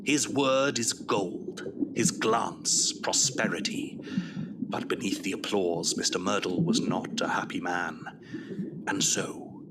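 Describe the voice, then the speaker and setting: A man's voice: low-pitched, slow, very noisy. A man narrating an audio drama in a dramatic, scripted storytelling style. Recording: music behind the voice.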